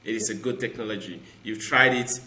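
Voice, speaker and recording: Bright-voiced man on an echoey call. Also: lowish voice, a little fast. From Sierra Leone. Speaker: guest. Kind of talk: video call conversation. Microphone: headset on video call.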